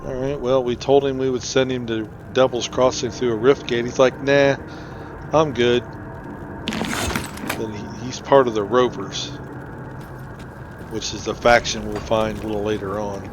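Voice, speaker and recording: A man's voice: medium pitch, little pitch movement, a little slow, pauses often, lo-fi sound, background noise. A man giving casual, conversational commentary as he hosts a video game playthrough. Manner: informal, conversational. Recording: game music behind voice. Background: game music.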